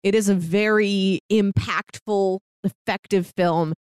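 A woman's voice: medium pitch, steady tone, slow pace, darkish tone, smooth, no echo, studio quality. A woman co-hosting a film podcast in casual, conversational discussion.

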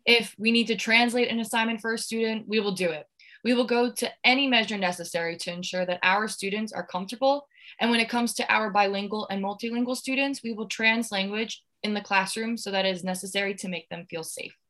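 A woman's voice: medium pitch, steady tone, fairly quick, sharp tone. A young woman, an education student, sharing classroom experiences in an earnest, partly scripted podcast discussion. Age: young adult.

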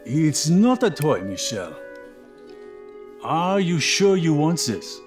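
Slow, wary gun supplier. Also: male voice, a little high, sing-song, over music. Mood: cautioning. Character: wary, measured supplier of an illicit weapon. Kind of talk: audio drama acting.

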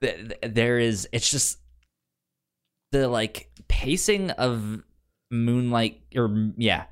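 A man's voice: medium pitch, slow pace, clean tone. A man co-hosting a podcast in casual film discussion, stammering and repeating syllables and words as he talks. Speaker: podcast co-host.

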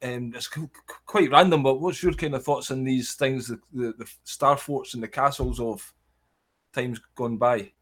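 Scottish male host, excitable fast patter, casual interview over a call. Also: mid-range voice. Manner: excitable, talks fast, says wee. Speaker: podcast host.

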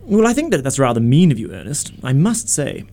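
A man acting in a radio play as a witty, idle young gentleman in a comedy of manners, with arch, languid, ornate phrasing. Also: mid-range voice, very animated, a little fast, close to an everyday voice. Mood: teasing, arch. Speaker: amateur radio theatre actor.

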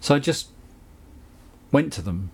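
A middle-aged man speaks with a Southern English accent, unhurried and conversational. He tells long stories in an interview, wanders into asides, and keeps an easy, anecdotal flow at a middling pitch. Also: big pitch swings, vocal fry, darkish tone, slight background noise. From England. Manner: anecdotal, chatty, with frequent asides and laughs. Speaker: guest, recording engineer and producer. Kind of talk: relaxed interview storytelling.

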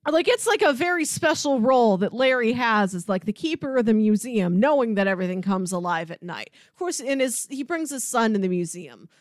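High-voiced, lively woman chatting enthusiastically. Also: brisk, few pauses, no echo, studio quality. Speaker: podcast co-host. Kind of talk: casual chat.

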